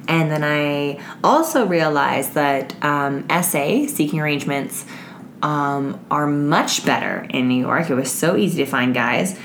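A woman hosting a casual solo podcast, her voice raspy and hoarse as it gives out. She talks frankly, with lots of fillers and swearing. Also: deep voice, a little slow, a little hiss or hum. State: hoarse, voice giving out. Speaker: podcast host.

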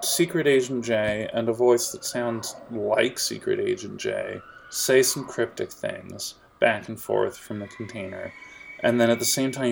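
A man's voice: lowish voice, creaky, slight background noise. A young man narrating a tabletop game as game master, rambling and jokey, with many fillers.

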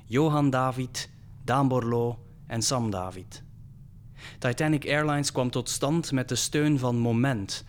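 A man with a Flemish accent reading closing credits as a scripted announcement. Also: mid-range voice. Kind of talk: scripted narration.

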